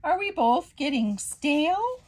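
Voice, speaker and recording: A woman voicing a small, excitable female pancake in a storybook, squeaky and high. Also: normal speed, close to an everyday voice. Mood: worried. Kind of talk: storybook character voice.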